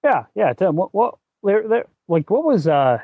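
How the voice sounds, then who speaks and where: A man's voice: high voice, big pitch swings, normal speed, no room sound, narrow, tinny audio. A man co-hosting a podcast in a casual discussion, thinking aloud as he goes and swearing now and then. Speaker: podcast co-host.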